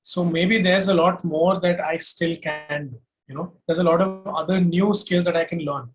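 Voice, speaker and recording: A man's voice: a little high, fairly even intonation, normal speed, soft background music, rough recording. A man with an Indian accent answering interview questions in an emphatic, self-assured way, explaining things methodically point by point. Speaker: singer-songwriter and music producer guest.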